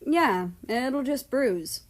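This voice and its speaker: A woman's voice: high-pitched, lively intonation, very slow, voice pushed up, full character voice. A woman voicing a frank, matter-of-fact boy in a story. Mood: blunt.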